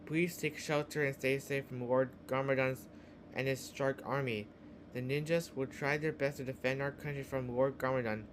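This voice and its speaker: A man reading a scripted emergency broadcast announcement in a halting delivery, as an alert announcer. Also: mid-range voice, little pitch movement, slight background noise. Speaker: emergency alert announcer in a fan-made scenario.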